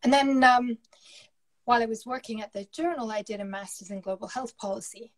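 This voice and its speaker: A woman's voice: a little high, fairly quick. South African woman on a video call. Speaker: journal editor-in-chief. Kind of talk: casual interview chat. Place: home room with curtain. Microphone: laptop webcam on video call.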